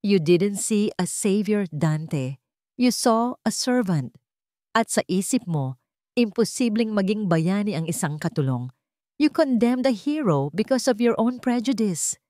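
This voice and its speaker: A woman's voice: mid-range voice, expressive, a little slow, mellow, no echo, clean studio sound, natural voice. A woman voicing a blunt, morally indignant male doctor in a story, speaking firmly and accusingly. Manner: firm, accusing, switches into formal English.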